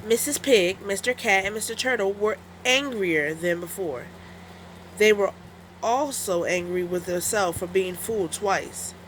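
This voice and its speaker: Slow-paced woman reading a children's story. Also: mid-range voice, a bit bright, a little hiss or hum, rough recording. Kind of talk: scripted children's story narration.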